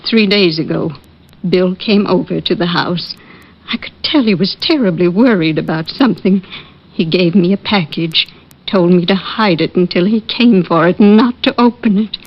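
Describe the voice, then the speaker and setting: A woman's voice: lowish voice, normal speed, breathy edge. An anxious little old American mother. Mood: worried. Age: older. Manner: polite, earnest, fretful. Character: anxious, tearful, genteel elderly mother of missing son. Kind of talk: 1940s-50s radio drama acting. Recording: muffled vintage radio recording.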